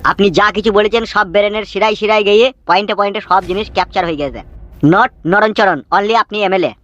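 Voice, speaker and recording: Fast-talking swaggering cartoon gangster, acted by a man. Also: sits high, fairly even intonation, a bit bright, muffled recording. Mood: boastful. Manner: crude, cocky, bragging. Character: swaggering boastful male gangster and mafia fixer. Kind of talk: comedy cartoon dubbing.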